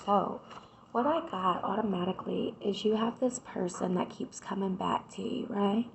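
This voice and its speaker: A woman, hoarse from a cold, doing a casual tarot reading and chatting straight to her viewers. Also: medium pitch, moderate pace, a little hiss or hum, low-quality recording. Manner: chatty, addresses viewers directly with repeated "right?". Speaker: tarot reader.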